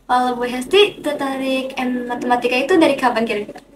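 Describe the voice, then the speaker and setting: A woman's voice: high voice, steady tone, fast. A woman with an Indonesian accent hosting a podcast, asking casual interview questions. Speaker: podcast host. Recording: roomy, distant microphone, background noise.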